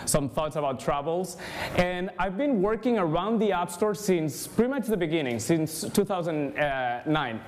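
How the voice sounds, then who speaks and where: A young man from Spain with a Spanish accent, giving an energetic conference talk and speaking straight to the audience. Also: high-pitched, normal speed, a little hiss or hum. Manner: energetic, engaging, addresses the audience directly. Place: large conference room, indoors.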